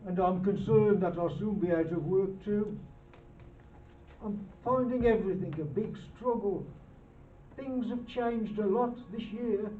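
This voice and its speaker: A person giving a dramatic, deliberate and emphatic reading of a personal testimony at a charity show. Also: mid-range voice, slight background noise. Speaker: reader in a charity poverty show. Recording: distant, echoey, phone-like sound with music.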